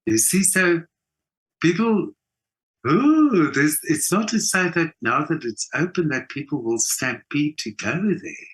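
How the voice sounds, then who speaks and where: A man's voice: on the high side, big pitch swings, takes it slowly, a bit bright, breathy edge, rough recording. A South African man speaking emphatically as a conversational interview guest, repeating phrases for stress. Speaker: interview guest, theatre performer and mentor.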